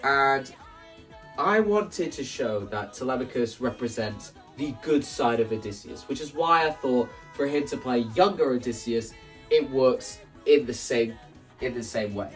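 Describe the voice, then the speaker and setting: A man's voice: mid-range voice, expressive, unhurried, recorded from a distance, soft background music. A man giving a rambling, explanatory vlog-style talk, acting out scenes as he describes a stage production. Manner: rambling, acts out scenes and quotes lines as they go. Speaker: content creator. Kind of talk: animated explanatory vlog about staging a musical.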